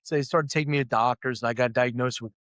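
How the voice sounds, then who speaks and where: A man's voice: medium pitch, steady tone, fast, fairly bright tone, dry recording. A middle-aged man from Lithuania, raised in Philadelphia, telling his life story openly and with self-deprecating humor as an interview guest. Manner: open, self-deprecating, acts out quoted voices. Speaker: guest, company CEO.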